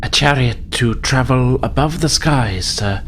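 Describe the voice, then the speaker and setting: A man's voice: mid-range voice, unhurried, a bit bright, soft background music. A man playing an earnest, devout alien in a tabletop game, speaking formally and hesitantly. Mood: earnest, reverent. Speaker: tabletop role-playing player. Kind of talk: in-character role-playing as an alien pilot. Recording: faint background noise.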